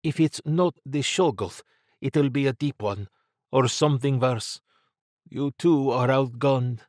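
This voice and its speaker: A cool, confident female secret agent as a male narrator puts her on for an audiobook. The voice is raised in pitch, with curt, commanding delivery. The temper is sharp and brusque. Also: unhurried, no room sound, studio quality, natural voice. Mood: stern warning. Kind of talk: audiobook character dialogue.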